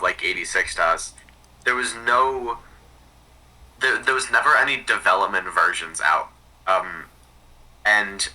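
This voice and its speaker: A man's voice: low-pitched, bright, forceful tone, far from the mic, poor audio. A man chatting casually as a podcast guest, tentative and self-effacing, his sentences often trailing off. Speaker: podcast guest, hobbyist archivist. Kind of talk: casual chat.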